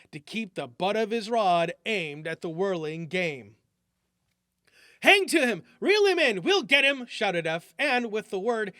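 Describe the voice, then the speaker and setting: A man reading a story aloud, lively and expressive, lifting his voice for the characters' shouts. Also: dry recording, clean studio sound. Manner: lively, raises pitch and volume for shouted character lines.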